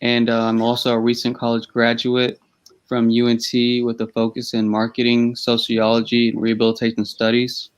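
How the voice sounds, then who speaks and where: A young man in his twenties from Texas, born in Ethiopia, introducing himself as a guest in a measured way. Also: mid-range voice. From Ethiopia, raised in Texas. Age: 20s. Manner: monotone, measured self-introduction.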